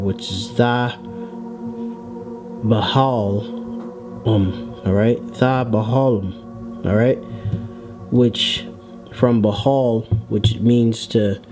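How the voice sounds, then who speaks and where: A man giving an informal scripture lesson, explaining word by word in a halting, deliberate way and often checking in as he goes. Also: lowish voice. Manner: halting, deliberate, frequently checking in with "all right". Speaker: religious Hebrew language teacher.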